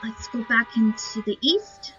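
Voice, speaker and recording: A woman's voice: on the high side, lively intonation, unhurried, pushed and bright. A woman giving casual commentary as she plays a game, thinking aloud in short, musing remarks. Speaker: gamer recording a playthrough. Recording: muffled lo-fi game capture. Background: game music.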